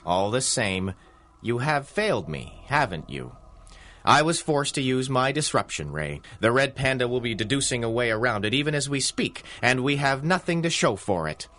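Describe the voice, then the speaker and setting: An actor playing an arrogant, scornful criminal mastermind in a 1930s-style radio serial, with pompous, wordy, theatrical delivery. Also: male voice, mid-range voice, very animated, normal speed, bright, forceful tone. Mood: disdainful.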